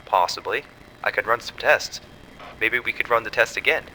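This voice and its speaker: An actor playing an uneasy research scientist in a horror audio drama, explaining findings in an earnest, tentative, analytical way. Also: male voice, mid-range voice, moderate pace, sharp tone, a little hiss or hum, low-quality recording. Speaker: scientist's assistant.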